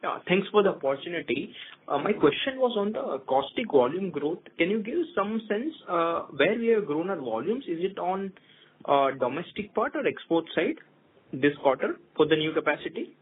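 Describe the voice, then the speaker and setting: A man's voice: high-pitched, sing-song, moderate pace. A male analyst phoning into an earnings call, putting questions politely and a little hesitantly. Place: phone call into conference. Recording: narrowband phone line, faint noise.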